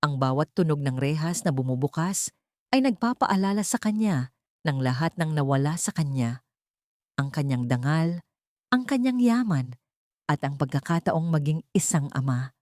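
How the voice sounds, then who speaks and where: A woman narrating a dramatic audiobook story in a steady storytelling manner. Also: low voice, normal speed, no echo, studio quality.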